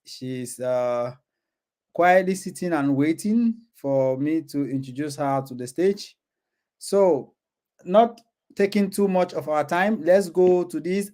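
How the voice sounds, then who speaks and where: A man's voice: fairly high pitch, a little mellow. A man hosting his own channel, hesitant and filler-heavy as he introduces an online interview. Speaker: channel host.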